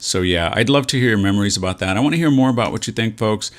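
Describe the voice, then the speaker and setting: A man with an American accent and a low-set voice talks casually as a vlog host. His delivery is fairly monotone and rambling, with a folksy, nostalgic way of addressing his viewers. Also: fast talker. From San Antonio, Texas, USA. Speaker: vlog host and real estate agent. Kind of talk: casual reminiscing walk-and-talk vlog.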